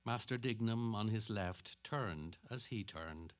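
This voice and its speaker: A man's voice: on the low side, slow. A man narrating literary fiction as a dramatic reading.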